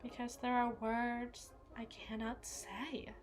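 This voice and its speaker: A woman's voice: a little high, monotone, slow pace, faint music behind. A woman playing an unstable, brooding evil queen in an intense, theatrical audio roleplay, speaking in formal, dramatic monologue. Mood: anguished. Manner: theatrical, formal, intense, swinging between hushed and forceful.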